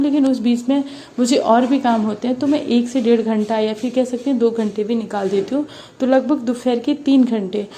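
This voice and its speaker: Young mother vlogging with a sore throat, higher voice, mellow tone, faint hiss behind. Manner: earnest, encouraging, mixes English words into Hindi. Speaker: study vlogger, mother preparing for police exam. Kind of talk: casual motivational vlog talk.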